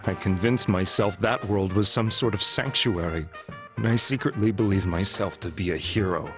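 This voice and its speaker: An actor playing a remorseful, weary man in a video game, speaking in a subdued, halting, earnest way. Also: fairly low pitch, music in the background, natural voice. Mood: remorseful, resigned. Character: remorseful, weary male ex-political hopeful confessing his crimes. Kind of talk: English game voice acting.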